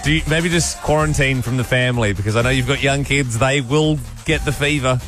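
A man's voice: medium pitch, a bit bright. List: man, breakfast radio co-host, enthusiastic quizmaster, faint music behind. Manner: enthusiastic, quick-talking quizmaster.

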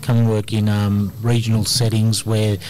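A man's voice: on the low side, monotone, moderate pace, darkish tone, a little hiss or hum. A middle-aged Australian man, an immigration lawyer, talking energetically in a casual radio interview with plenty of ums and uhs, recorded in a community centre hall.

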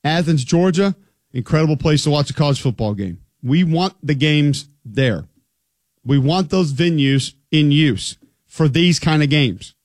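A man's voice: fairly high pitch, expressive, a little slow, no room sound. Guy hosting sports radio, chatty, emphatic, dry close sound. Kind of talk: sports talk show.

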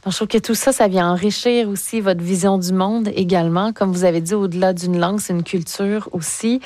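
A woman's voice: mid-range voice, fairly even intonation, brisk, a little mellow, no echo. A woman hosting a conversational interview. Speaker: interview host.

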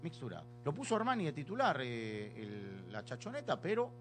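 A man's voice: mid-range voice, wildly swooping pitch, moderate pace, faint music behind. A man chatting as a football panelist, repeating his points for emphasis. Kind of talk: casual sports panel chat.

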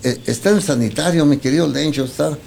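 A man's voice: on the high side, quick pace, vocal fry, darkish tone, a little hiss or hum. A man with a Mexican accent hosting a radio show in casual talk, rambling and repeating himself. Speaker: radio host.

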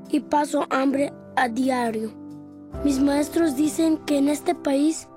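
A woman reading a scripted charity ad in a soft, earnest, measured way. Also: sits high. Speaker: ad voice-over.

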